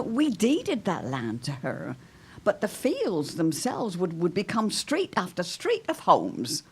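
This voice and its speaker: A woman's voice: medium pitch, slightly rough, exaggerated voice. A woman playing an anxious but proudly stubborn older widow, speaking in dramatic, old-fashioned phrases in a period audio drama.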